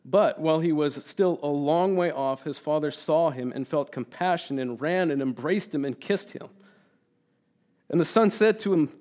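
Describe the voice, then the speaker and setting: Earnest man preaching, slightly high voice. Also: moderate pace. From Turkey. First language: Turkish. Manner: earnest and animated, repeats words when emphasising, reads scripture aloud. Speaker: guest preacher. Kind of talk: sermon.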